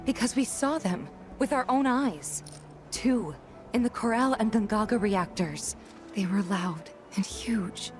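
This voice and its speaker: A woman's voice: mid-range voice, lively intonation, measured pace, a little mellow, lightly acted. A woman playing a confident, frank young adventurer in a video game, with a direct manner. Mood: insistent, serious. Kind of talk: video game cutscene acting. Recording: music behind the voice.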